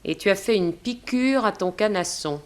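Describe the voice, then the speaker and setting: Casting: actress as calculating, teasing mistress for vintage radio drama; mid-register voice, insistent and cutting. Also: female voice, mid-range voice, lively intonation, normal speed, lightly acted. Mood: accusing. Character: pretty, demanding, shrewd mistress of a rich man. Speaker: actor. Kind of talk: early 1960s French radio drama acting.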